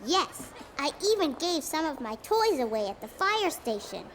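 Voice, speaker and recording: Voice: sits high, very animated, moderate pace, fairly bright tone, soft background music, heavily acted. An actor voicing a sweet, curious four-year-old boy in a children's cartoon, with an earnest, simple delivery.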